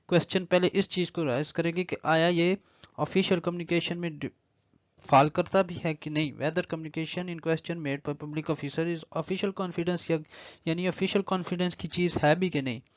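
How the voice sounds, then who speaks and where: A man with a Pakistani accent giving an explanatory law lecture, reading clauses aloud deliberately and then explaining them. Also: a little high, no echo. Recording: slightly dull, lo-fi audio.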